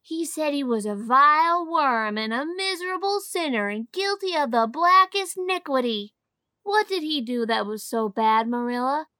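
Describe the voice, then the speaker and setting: A woman's voice: high voice, lively intonation, moderate pace, pushed and bright, no echo, studio quality, pitched above the performer's own voice. A woman voicing a curious, chatty little boy who keeps pressing with questions. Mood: earnest. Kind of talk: audiobook character voice.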